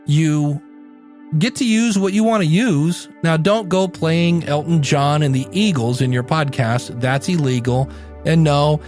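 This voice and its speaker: A man hosting a podcast in a conversational solo style, speaking emphatically and slowing down for dramatic effect. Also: on the high side, a little mellow. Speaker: podcast host. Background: background music.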